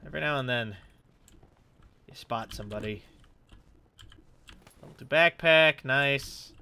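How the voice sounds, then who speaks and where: Bright-voiced man rambling through game commentary. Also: mid-range voice, a little slow, smooth. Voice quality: slightly stuffed-up nose. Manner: rambling, thinking aloud. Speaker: gaming YouTuber. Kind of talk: casual let's play commentary, swearing. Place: his room at home.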